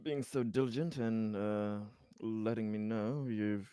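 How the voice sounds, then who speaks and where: A man acts out a courteous sci-fi colony official with a lowish voice. He stammers and gropes for words, earnest and deferential throughout the tabletop game. The sound is close and dry. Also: unhurried, dark tone, smooth, dry recording, lightly acted. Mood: grateful, earnest. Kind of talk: improvised in-character tabletop roleplay.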